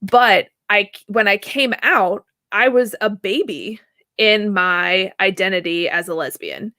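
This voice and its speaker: A woman in her thirties, a therapist guest answering at length in a casual, animated way, laughing easily and often checking in with "right?". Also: mid-range voice, takes it slowly, no echo. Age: 30s. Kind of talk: conversational interview answer.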